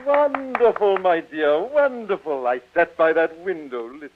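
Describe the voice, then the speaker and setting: A man's voice: fairly high pitch, animated, moderate pace, background noise, lightly acted. An actor playing a doting, theatrical middle-aged father in 1940s radio drama style. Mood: proud, tender. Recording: muffled old radio, music behind.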